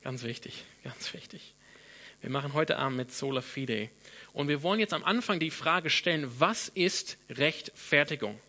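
A man's voice: on the high side, normal speed, sharp tone. A man in his thirties, a pastor from Australia whose first language is English, preaching a sermon in German with an Australian accent, often restarting and repeating words as he thinks aloud.

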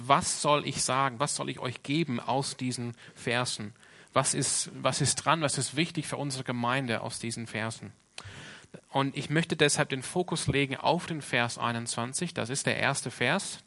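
A man's voice: mid-range voice, bright, forceful tone. A man from Australia with an Australian English accent, a native English speaker, preaching an informal sermon in a plain-spoken, earnest way. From Sydney, Australia.

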